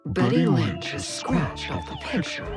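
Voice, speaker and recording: An actress playing a cryptic, grim informant on the telephone in a noir game. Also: female voice, fairly low pitch, huge pitch swings, unhurried, music in the background. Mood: cryptic, ominous.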